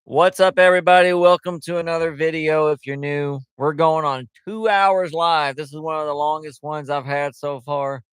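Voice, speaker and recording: A man's voice: fairly high pitch, little pitch movement, moderate pace, few pauses, a bit bright, clear, resonant tone, dry recording. A man hosting a casual livestream, rambling in a loose, chatty way with lots of fillers, talking into a headset mic. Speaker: livestream host.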